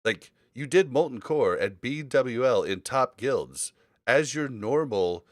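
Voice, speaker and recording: A man's voice: mid-range voice, animated, takes it slowly, no room sound, studio quality. A man chatting casually on a gaming podcast, giving opinions in a rambling, storytelling way. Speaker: podcast host.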